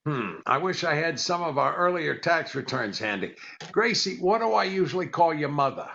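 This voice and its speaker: Casting: long-suffering comedian husband, bright gravelly voice, dry deadpan timing, vintage radio comedy. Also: male voice, fairly high pitch, moderate pace, a little hiss or hum, heavily acted. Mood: wry, puzzled. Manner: dry, hesitant, deadpan delivery. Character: wry, long-suffering comedian husband, patient straight man. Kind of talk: 1940s radio comedy acting. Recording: dull lo-fi audio.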